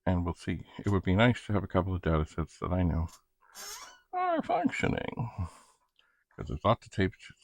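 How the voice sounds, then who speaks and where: A man thinking aloud in a halting, casual ramble as he hosts a hands-on hobby demonstration, muttering to himself. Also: low voice, huge pitch swings, gravelly, no echo. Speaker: retro computer hobbyist host. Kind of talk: casual rambling demonstration while testing equipment.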